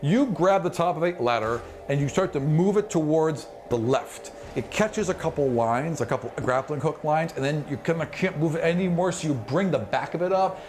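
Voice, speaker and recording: Higher-voiced male game master, noisy background. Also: normal speed, hardly any pauses, a little mellow. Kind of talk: improvised tabletop game narration.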